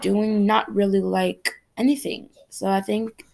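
A woman's voice: mid-range voice, flat delivery, measured pace, vocal fry, a little mellow. A teenage girl from Cameroon hosting her own podcast, talking in a chatty, rambling, earnest way. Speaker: podcast host. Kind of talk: casual solo podcast chat.

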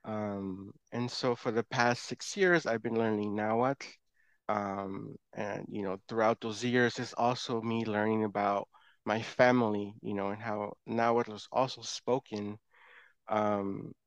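A man's voice: on the low side, fairly even intonation, measured pace, clean tone, no room sound. A man whose first language is Spanish, speaking casually and informally as a guest in a conversational interview. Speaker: podcast guest, language learner.

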